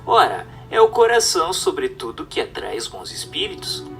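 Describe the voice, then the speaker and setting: Male narrator, formal and instructive, very animated intonation, slightly distant mic over faint music. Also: a little high, moderate pace, vocal fry. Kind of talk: scripted reading of a spiritist text, audiobook-like.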